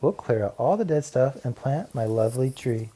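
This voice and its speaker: A man's voice: medium pitch, animated, moderate pace, mellow, slightly rough. A man voicing a determined, impatient young schoolgirl, insistent and emphatic, in a children's storybook. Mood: eager.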